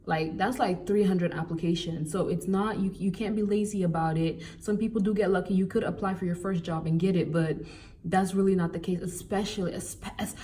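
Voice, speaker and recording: A young woman from Cameroon giving casual, animated job-hunting advice in a vlog, with exasperated asides. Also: on the low side, a little fast, distant mic. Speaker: vlogger.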